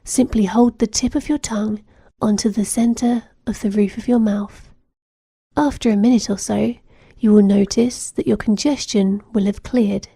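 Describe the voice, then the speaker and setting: A woman leads a guided meditation, gently and soothingly. Her voice is breathy with a touch of fry at times, and she sounds close and dry, with no room around her. The instructions are calm and measured. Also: medium pitch, no echo.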